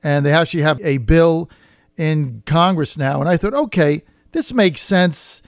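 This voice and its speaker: A man's voice: a little high, expressive, a little slow, no echo. Opinionated male radio host, close dry sound. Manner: plain-spoken, opinionated, punchy short sentences. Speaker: radio talk show host. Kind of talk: conversational political radio interview.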